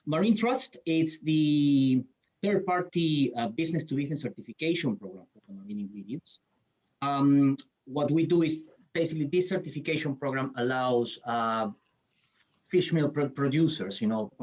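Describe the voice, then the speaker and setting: A man's voice: mid-range voice, a little mellow, highs cut off. A man from Peru speaking on a conference panel, hesitant, with many fillers and restarts. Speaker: panelist, certification program CEO.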